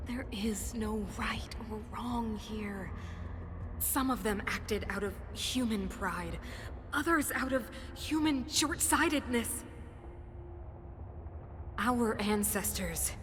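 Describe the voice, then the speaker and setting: An actress playing a cold, fanatical mother and ruler in a game cutscene, speaking in solemn, grandiose oratory with a breathy tone. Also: on the high side, background noise. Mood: hushed, ominous. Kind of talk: dramatic game cutscene acting. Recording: long reverb, music behind.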